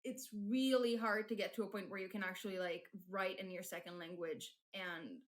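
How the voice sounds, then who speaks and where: A woman's voice: medium pitch, steady tone, normal speed. A woman whose first language is Polish, chatting casually on a live stream with many fillers and restarts. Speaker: streamer and fanfic writer.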